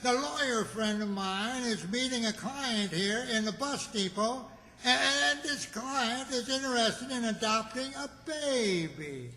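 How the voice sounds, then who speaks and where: A man playing an eager but hesitant, folksy country friend in an old-time radio comedy, halting and repeating himself. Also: extremely high pitch, lively intonation, pushed and bright, exaggerated voice. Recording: reverberant hall stage recording.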